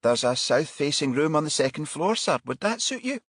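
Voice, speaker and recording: A man's voice: fairly high pitch, big pitch swings, normal speed, a bit bright, husky, no room sound, studio quality, pitched above the performer's own voice, heavily acted. A man voicing a polite, obliging female hotel receptionist in an audiobook, with a courteous service tone.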